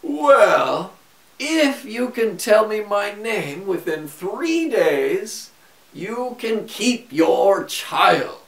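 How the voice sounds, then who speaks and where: A man voicing a funny, sly little man in a fairy tale, with a high shrill tone. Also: very animated, drawn-out words, gravelly, exaggerated voice. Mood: teasing. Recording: echoey room, far from mic.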